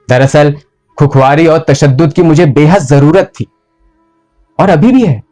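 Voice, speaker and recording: A male actor playing a ruthless, tormented medieval sultan in a slow, deliberate theatrical monologue with heavy pauses. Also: mid-range voice, darkish tone, lightly acted. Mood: bitter, haunted. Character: brooding, ruthless, tormented medieval sultan confessing his murders. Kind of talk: dramatic theatrical Hindustani monologue.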